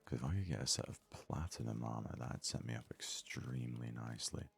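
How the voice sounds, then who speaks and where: Very deep, creaky, hushed man. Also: fairly quick. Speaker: gaming video host. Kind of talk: soft-spoken ASMR game commentary.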